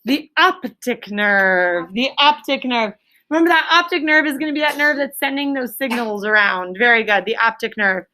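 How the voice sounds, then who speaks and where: A woman's voice: on the high side, moderate pace, bright, forceful tone, a little hiss or hum. A woman elementary school teacher leading an interactive lesson, asking questions and repeating answers with emphasis in an instructive way.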